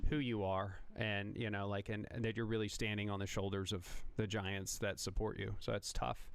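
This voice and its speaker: A man hosting a casual podcast interview as a chef, talking in an animated, rambling way with many restarts. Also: lowish voice, moderate pace, vocal fry, smooth.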